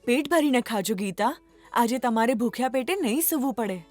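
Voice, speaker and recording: A woman voicing a gracious, wise fairy in a children's cartoon story, with a measured, instructing manner. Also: fairly high pitch, lively intonation, dry recording, faint music behind, lightly acted. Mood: kindly. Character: gracious, wise magical fairy granting wishes.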